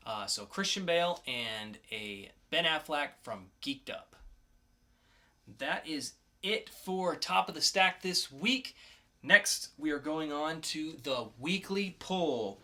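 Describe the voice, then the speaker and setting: Male podcast co-host, bright tone, casual comic-review delivery, conversational with punched announcements. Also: on the high side, expressive, slow.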